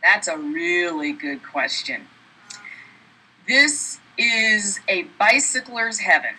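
A woman from Portland representing a university, speaking quickly with many fillers over a video call. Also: mid-range voice, animated, pushed and bright, slightly rough, poor audio. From Portland, Oregon. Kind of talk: casual promotional talk. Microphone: webcam on video call.

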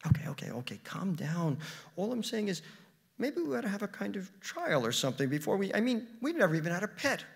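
A man's voice: a little high, huge pitch swings, normal speed, few pauses, husky, voice pushed up, full character voice. A man reading a story as an evasive, cowardly husband, hesitant and defensive in manner. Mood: flustered, placating. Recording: reverberant hall with long echo.

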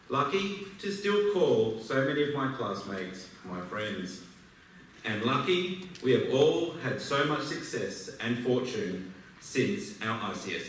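A man in his thirties with an Australian accent, giving a prepared, measured speech at a podium in a large auditorium. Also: mid-range voice, a bit bright, distant mic, a little hiss or hum. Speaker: alumni speaker. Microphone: podium mic, filmed from far back in the hall. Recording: echoey hall recorded from afar.

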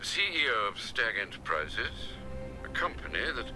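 A man's voice: medium pitch, big pitch swings, normal speed, music in the background, natural voice. A man playing a formal, dutiful older butler in a video game, polite and precise.

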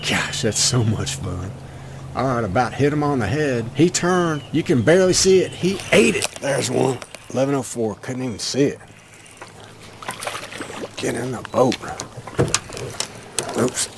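Middle-aged man, mid-range pitch, casual enthusiastic fishing commentary, folksy, noisy open-air boat recording. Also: a little slow, pauses often. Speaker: fishing vlogger. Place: outdoors on a small open boat on a lake. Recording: clear outdoor wind and boat noise.

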